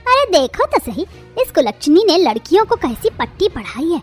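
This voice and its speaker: A woman voicing a harsh middle-aged mother-in-law in an animated family drama, hurling shrill insults. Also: moderate pace, soft background music. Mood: furious. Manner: shrill, insulting, shouting tirades.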